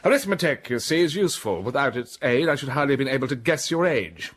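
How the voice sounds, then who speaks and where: An actor playing an imperious gentleman in a radio drama, questioning curtly. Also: medium pitch, lively intonation, fast. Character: brusque, commanding gentleman of the manor.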